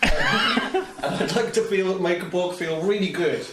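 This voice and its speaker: A man's voice: high-pitched, huge pitch swings, measured pace. A man playing a tabletop game, chatting playfully with a mischievous, chuckling manner. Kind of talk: casual playful game chat. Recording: distant, echoey room with clear noise.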